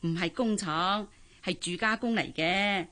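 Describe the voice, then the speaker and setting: A kindly older auntie in a radio play. She has a Hong Kong Cantonese accent and a mid-pitched voice, and coaxes in a chatty, soothing way. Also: a little slow, bright, forceful tone. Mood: coaxing, reassuring. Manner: coaxing, chatty, with soothing interjections. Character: kindly, persuasive older aunt, a domestic helper. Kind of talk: Cantonese radio drama acting.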